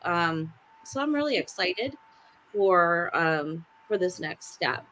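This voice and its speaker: Bright-voiced woman explaining plans. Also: on the low side, slow, slight background noise, poor audio. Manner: steady, explanatory, with quoted back-and-forth. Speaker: panelist on a sisters' chat show. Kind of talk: casual group chat.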